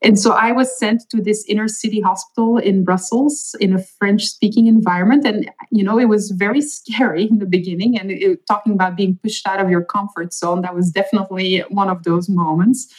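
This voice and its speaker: A woman's voice: medium pitch, steady tone, a little fast, hardly any pauses, darkish tone, low-quality recording. A middle-aged woman from Belgium with a Flemish accent, a native Dutch speaker, guest on an interview podcast, telling her story earnestly and thoughtfully with small fillers. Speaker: chief medical officer, guest.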